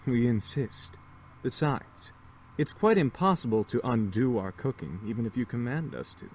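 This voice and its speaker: An actor playing a polite, serious young demon gentleman in a visual novel game, formal and attentive. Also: mid-range voice, lively intonation, a little hiss or hum, close to an everyday voice, poor audio. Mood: courteous. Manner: formal, courteous, measured. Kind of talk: visual novel character acting.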